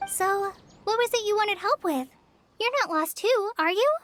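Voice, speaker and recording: A woman voicing an earnest, kindly young trainee forest ranger in an anime game, gentle and reassuring. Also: extremely high pitch, moderate pace, slight background noise. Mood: helpful. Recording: music under the voice.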